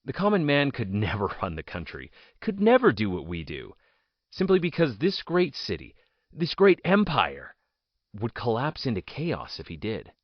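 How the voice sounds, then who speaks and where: A man playing a proud, bitter ousted politician in an audio drama, telling his story in a deliberate, theatrical monologue with dramatic pauses. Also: mid-range voice, wildly swooping pitch, no room sound, muffled recording. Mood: haughty, sardonic.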